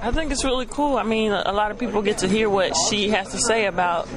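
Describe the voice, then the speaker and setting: A young woman from Texas with a Texan accent, a college basketball player answering radio interview questions in a relaxed way, with short replies and frequent hesitant ums. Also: medium pitch, fairly quick, crowd noise, poor audio. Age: young adult.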